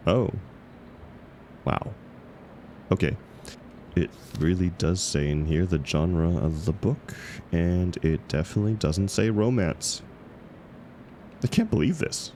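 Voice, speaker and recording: A man's voice: deep voice, a little mellow, slight background noise. A man playing an awkward, bashful book club member in an intimate scripted roleplay, speaking hesitantly with stammers and self-deprecation. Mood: sheepish, reflective. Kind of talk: scripted romantic audio roleplay, intimate one-sided dialogue.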